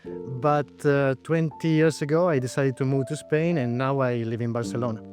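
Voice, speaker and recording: A man's voice: medium pitch, steady tone, normal speed, a little mellow, soft background music. A man in his forties from Italy, speaking with an Italian accent as a startup founder answering interview questions, thoughtful, with frequent hesitations. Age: 40s.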